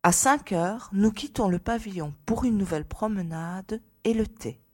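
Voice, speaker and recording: Woman playing a composed, husky young witness. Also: mid-range voice, normal speed, darkish tone, no room sound, lightly acted. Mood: composed, reserved. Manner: formal, reserved, precise. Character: composed young lady, scientist's daughter, giving testimony. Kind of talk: audiobook character acting.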